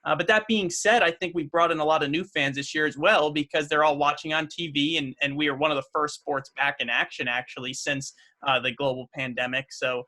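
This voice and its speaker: A man's voice: on the high side, fairly even intonation, a little fast, hardly any pauses, sharp tone. A young man, a race car driver, answering podcast questions in an earnest, upbeat way, with many fillers and restarts. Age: young adult. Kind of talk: casual podcast interview.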